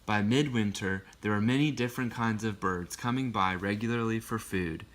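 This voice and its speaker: A man reading a picture book aloud in an expressive storytelling way, with casual asides. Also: fairly low pitch, normal speed.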